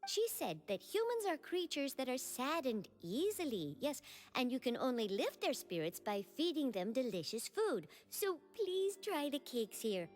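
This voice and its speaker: A woman voicing a gentle, carefree young water creature who explains things simply and earnestly in an anime-style video game. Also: high-pitched, sing-song, moderate pace. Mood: gentle, kindly. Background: background music.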